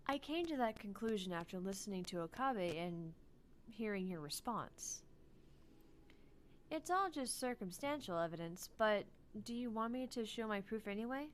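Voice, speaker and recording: A woman voicing a calm, teasing young female scientist in a visual novel, speaking in a measured, analytical way. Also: mid-range voice, slight background noise, close to an everyday voice. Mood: composed, analytical. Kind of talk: visual novel read-aloud.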